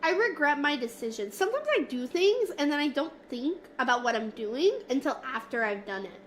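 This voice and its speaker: Woman streamer, high and nasal, sniffly with a cold, slow playful chatter over faint hiss. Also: big pitch swings. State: stuffy from a cold. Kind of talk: casual livestream chat with viewers. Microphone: desk mic, moving closer and farther.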